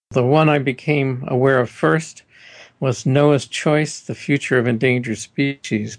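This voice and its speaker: A man's voice: mid-range voice, creaky, dry recording. A man hosting a radio interview, asking questions in a chatty, enthusiastic way with frequent uhs.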